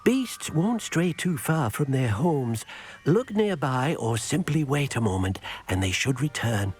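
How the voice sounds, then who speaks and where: A man's voice: medium pitch, big pitch swings, measured pace, soft background music. A man playing a small, timid, eager house-elf servant in a video game, earnest and polite, speaking of himself in the third person. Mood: helpful, instructive. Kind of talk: video game character acting.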